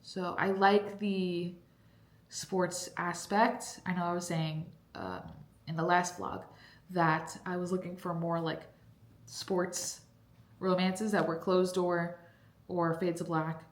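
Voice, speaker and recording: A woman's voice: on the low side, slow. Chatty young woman, congested, echoey room. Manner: chatty, rambling with many fillers. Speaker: book vlogger. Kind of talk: casual vlog chat. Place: cold basement room at home.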